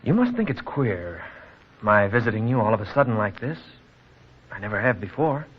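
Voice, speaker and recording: A man's voice: lowish voice, big pitch swings, moderate pace. A man playing a troubled, earnest young townsman with a formal manner in a 1940s radio drama. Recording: muffled, noisy vintage radio recording.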